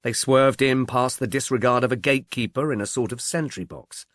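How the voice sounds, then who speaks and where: A man's voice: mid-range voice, fairly quick, no room sound, studio quality. A man reading scripted literary narration as an audiobook narrator. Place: close, dry recording.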